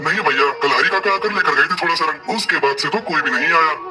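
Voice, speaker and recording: An earnest pigeon craftsman, played by a man in a cartoon, gentle yet stubborn. He talks quickly in a fairly high voice, with music behind. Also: distant mic, natural voice. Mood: modest. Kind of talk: Hindi moral-story cartoon dubbing.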